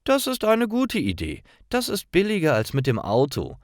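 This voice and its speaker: Man playing cheerful young woman, high swooping pitch, eager exclamations, clear diction, learner's audio story. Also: wildly swooping pitch, moderate pace, no echo, clean studio sound. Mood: excited. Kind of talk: acted dialogue in a language-learning story.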